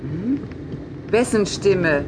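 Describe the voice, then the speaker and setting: A woman's voice: mid-range voice, lively intonation, very slow, heavy background noise. An actress playing a curious but uncertain young woman being drawn into a cult in a German audio drama. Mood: uneasy, curious. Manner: uncertain, questioning.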